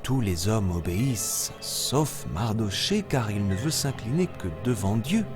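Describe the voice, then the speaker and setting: A man playing a kindly jungle animal who tells children stories in an expressive, teacherly cartoon manner. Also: lowish voice, a little slow. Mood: storytelling. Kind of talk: children's story narration with acted dialogue. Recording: loud music behind.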